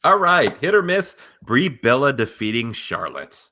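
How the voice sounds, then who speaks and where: A man's voice: medium pitch, sing-song, measured pace, sharp tone. A man co-hosting a casual wrestling podcast, speaking in an exasperated, emphatic way. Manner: exasperated, emphatic, mildly profane. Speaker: podcast co-host. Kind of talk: casual wrestling commentary chat.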